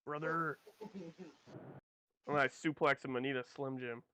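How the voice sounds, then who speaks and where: A man's voice: medium pitch, steady tone, measured pace, pushed and bright, slight background noise. A man playing a tabletop role-playing game online, joking and bantering with the group. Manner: jokey, playful. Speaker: player.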